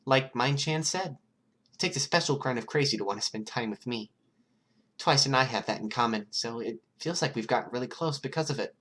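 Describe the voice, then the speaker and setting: A teasing, excitable young villainess from an anime fan story , voiced by a male reader, pitched well above his natural register. The delivery is chatty and playful. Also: fairly quick, lightly acted. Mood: musing, curious.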